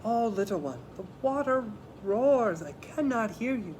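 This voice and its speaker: A man's voice: extremely high pitch, lively intonation, measured pace, darkish tone, slight background noise, voice pushed up. A man voicing a stern, impatient mother in a storybook, speaking formally to her child. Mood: dismissive. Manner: scolding, formal old-fashioned wording. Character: stern, impatient, honorable mother of young boys.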